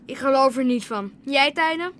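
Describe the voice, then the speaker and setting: A feisty young villager in a radio drama. Her voice is high, her manner blunt and scornful yet caring, with clear ambient noise behind her. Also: animated, moderate pace, a bit bright. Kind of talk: naturalistic radio drama acting.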